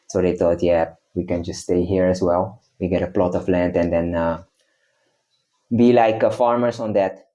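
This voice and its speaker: A man's voice: sits low, fairly even intonation, moderate pace, warm tone, dull audio. A young man from the Netherlands, a guest in casual chat, explaining at length with many hesitations.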